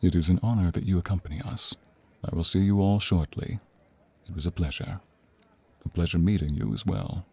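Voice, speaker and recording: A man's voice: low voice, fairly quick, creaky, dark tone, husky, no room sound, exaggerated voice. A man playing a commanding, protective king in a dramatic audio role play, formal and regal in his way of talking. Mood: courtly, composed.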